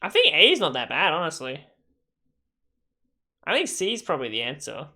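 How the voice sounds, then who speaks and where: A man's voice: fairly high pitch, huge pitch swings, normal speed, pushed and bright. A man giving casual livestream gaming commentary in a slangy, sweary, bantering way. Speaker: livestream gamer.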